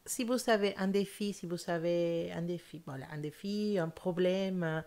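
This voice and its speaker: A woman's voice: on the low side, normal speed, warm tone. A young woman whose first language is not French, giving a monthly tarot reading in an earnest, hesitant way with many fillers and restarts. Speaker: tarot reader.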